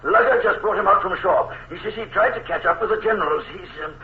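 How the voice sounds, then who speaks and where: A deferential, loyal navy captain. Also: male voice, high-pitched, creaky, slight background noise. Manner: respectful, deferential, reports briskly. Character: loyal, worried male naval captain. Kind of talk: 1940s radio acting. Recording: tinny, echoey old radio broadcast.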